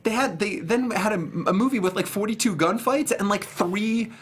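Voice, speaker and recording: A man's voice: high-pitched, moderate pace, breathy edge. A man talking casually and emphatically on a film review panel, with slangy, incredulous joking. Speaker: film review show host.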